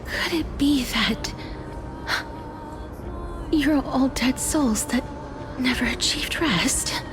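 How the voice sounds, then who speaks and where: Soft-spoken young guide of souls, formal, solemn, slow delivery, game dialogue over music. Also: female voice, sits high. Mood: gentle, solemn. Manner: soft, measured, formal, breathy phrase endings. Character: gentle young woman who guides souls, solemn and polite. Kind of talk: video game voice acting. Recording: background music under the voice.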